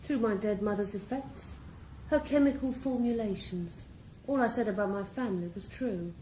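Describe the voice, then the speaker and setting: A woman's voice: medium pitch, normal speed, mellow, lightly acted. An actress in a radio drama playing a ruthless female drug boss, cool, composed and mocking. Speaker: criminal mastermind. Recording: roomy echo, faint background hiss.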